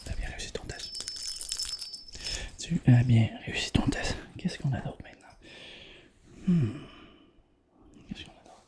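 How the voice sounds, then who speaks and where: A man with a Quebec French accent doing a soft, whispered ASMR roleplay as an examiner, gently repeating phrases and checking in with the listener. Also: medium pitch, animated, a little slow, long pauses. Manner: gentle, repetitive phrasing with reassuring check-ins. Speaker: ASMR performer playing an eye examiner.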